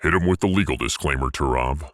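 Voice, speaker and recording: A man's voice: very deep voice, fast talker, a bit bright, dry recording, clean studio sound. A man voicing a big, dutiful alien warrior on a starship, formal and emphatic, in a comic audiodrama. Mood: salesy.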